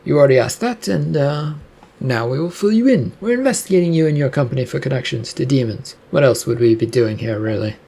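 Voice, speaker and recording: A man playing a casual, wry male demon investigator in a story, with a halting, sardonic manner. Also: medium pitch, lively intonation, creaky, warm tone, breathy edge.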